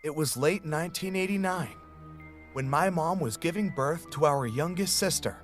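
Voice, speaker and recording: A man telling a childhood ghost story as scripted narration, in an even, flat storytelling manner. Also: a little high, moderate pace, faint music behind. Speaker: narrator of a played story video.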